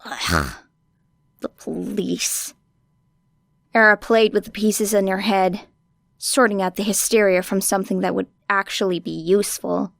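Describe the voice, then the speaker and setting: A woman's voice: medium pitch, lively intonation, a little slow, vocal fry, fairly bright tone, dry recording, clean studio sound. A woman reading a story expressively as an audiobook narrator. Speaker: audiobook reader. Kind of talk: expressive scripted fiction narration.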